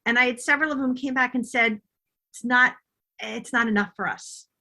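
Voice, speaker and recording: A woman's voice: fairly high pitch, a little fast, bright, forceful tone. A middle-aged woman talking conversationally as a guest in an interview. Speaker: podcast guest, novelist.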